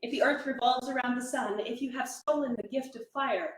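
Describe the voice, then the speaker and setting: High-voiced woman reciting poetry, echoey room. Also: a little fast. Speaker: dramatic reader at a poetry event. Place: reading room at a live literary event.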